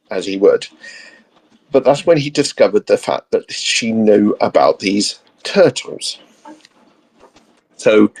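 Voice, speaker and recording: Slightly low-voiced man, meandering chat. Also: low-quality recording. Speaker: podcaster guest co-host. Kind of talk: casual chat, explaining ideas off the cuff.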